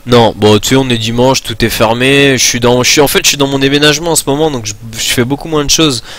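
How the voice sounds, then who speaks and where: A man's voice: medium pitch, steady tone, fast talker, fairly bright tone. A man in his twenties from France, chatting casually while streaming a game, rambling with many fillers and restarts, talking into a desk mic at his computer. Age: 20s. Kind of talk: casual chat while gaming live.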